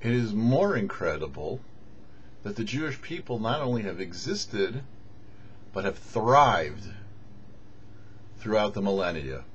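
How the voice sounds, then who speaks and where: A man, a rabbi, giving scripted religious teaching with deliberate, emphatic phrasing and pauses. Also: fairly low pitch, very animated, dull audio, slight background noise. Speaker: rabbi, host of a weekly Torah show.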